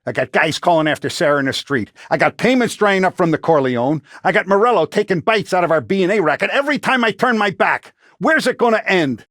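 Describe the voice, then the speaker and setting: An actor playing a tough, middle-aged Italian-American mafia boss in a video game, blunt and streetwise, with a commanding, vengeful manner. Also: male voice, high voice, animated, brisk, few pauses, fairly bright tone, dry recording, studio quality. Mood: exasperated, angry. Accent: American, Italian-American gangster. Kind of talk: video game voice acting, 1930s gangster talk.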